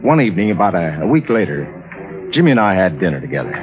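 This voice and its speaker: A dull vintage radio recording with music carries a worried, skeptical man. He narrates earnestly, with wide dramatic swings in pitch. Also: very animated, quick pace. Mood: grave narrating. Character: worried, skeptical man, first-person narrator, concerned friend.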